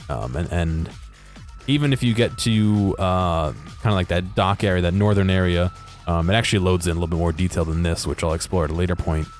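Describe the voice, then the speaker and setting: A man with a low voice narrates video game footage in an offhand, unscripted way. He muses aloud and hesitates as he works out what to say. Music plays behind his commentary. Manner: hesitant, musing, thinking aloud. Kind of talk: casual unscripted gameplay commentary.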